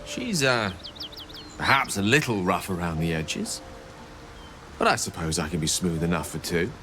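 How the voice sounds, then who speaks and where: A suave fantasy adventurer with a deep, bright-edged voice. He sounds droll and self-assured, like a polite, witty game companion, over faint music. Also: lively intonation, moderate pace. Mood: wry, charming. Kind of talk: video game character acting.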